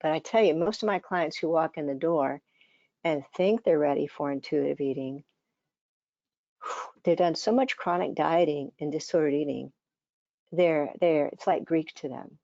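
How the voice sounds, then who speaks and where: An older woman, around seventy, giving a conversational conference presentation as a dietitian, her voice scratchy and hoarse, with many fillers and restarts. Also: deep voice, moderate pace, warm tone, dry recording, dull audio.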